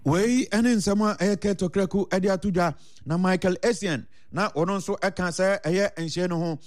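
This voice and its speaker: Ghanaian guy reading out sports news, slightly high voice. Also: normal speed. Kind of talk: sports news report with interview clips.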